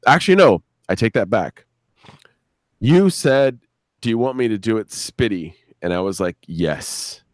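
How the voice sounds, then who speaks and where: A man chatting casually as a guest show creator on a live stream, rambling and halting, repeating words and swearing freely. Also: fairly low pitch, animated, no room sound, studio quality.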